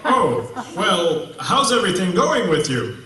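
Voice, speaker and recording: A man's voice: sits high, very animated, a little slow, pushed and bright, exaggerated voice. A man playing a cheerful, folksy, jovial husband puppet in a comedy skit, with exaggerated reactions. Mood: welcoming, chatty. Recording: echoey room, distant mic.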